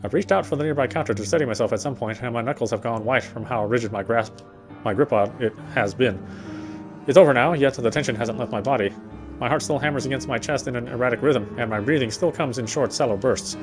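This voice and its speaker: A man streaming a game, reading its text aloud as measured, dramatic narration with occasional stumbles. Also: mid-range voice. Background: game music.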